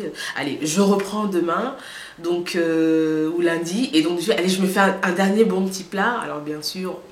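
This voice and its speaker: Woman, stuffy from a cold, casual vlog update, hesitant and chatty, talks right to her viewers, mid pitch, roomy echo, faint hiss behind. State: slightly congested from a cold. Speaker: vlogger.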